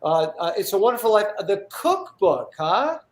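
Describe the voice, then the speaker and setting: High-voiced, echoey male talk show host. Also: moderate pace. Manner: stammering, repeats words, excitable. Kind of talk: casual live interview. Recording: roomy, echoey sound.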